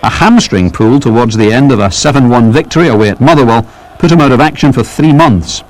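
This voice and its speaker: A man's voice: medium pitch, a little fast, low-quality recording. A man narrating a football documentary in a scripted storytelling delivery.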